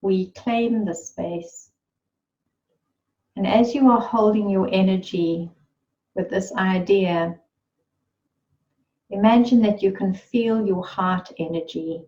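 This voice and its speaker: A woman leading a guided meditation in a gentle, soothing, unhurried way. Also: mid-range voice, muffled recording. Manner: slow, soothing and unhurried, with long pauses.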